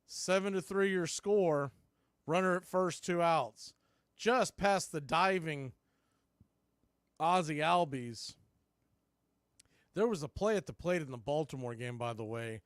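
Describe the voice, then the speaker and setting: A man calling a baseball game live as a play-by-play announcer, mixing clipped pitch calls with folksy, casual asides. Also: lively intonation, unhurried, pauses often, clean tone, dry recording, clean studio sound. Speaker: baseball play-by-play announcer for a fan radio stream.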